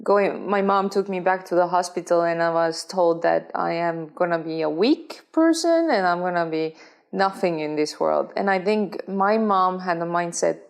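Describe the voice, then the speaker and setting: A woman's voice: fairly low pitch, moderate pace, vocal fry. A woman from Estonia, a professional swimmer, speaking earnestly in a conversational interview with non-native phrasing and frequent restarts.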